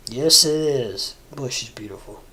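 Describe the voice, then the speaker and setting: A man rambling through a casual livestream, stuffy and congested, halting with lots of fillers and restarts. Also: mid-range voice, lively intonation, sharp tone, breathy edge. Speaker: crypto livestreamer. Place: home room, seated at a desk.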